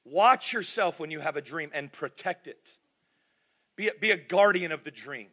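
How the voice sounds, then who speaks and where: A man's voice: a little high, a bit bright. A middle-aged man preaching a sermon in a church, emphatic and repetitive, with rhetorical questions. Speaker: pastor.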